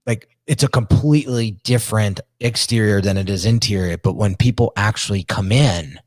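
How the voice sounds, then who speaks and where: A middle-aged man with a strong New Jersey accent and a deep voice, hosting a podcast and telling stories in casual, animated chat, often repeating and restarting words. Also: normal speed, no echo. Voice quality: deep register. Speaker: podcast host.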